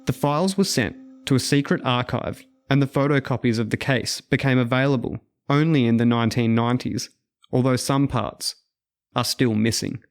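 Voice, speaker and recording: A man's voice: medium pitch, normal speed. A man reading scripted documentary narration as a podcast narrator.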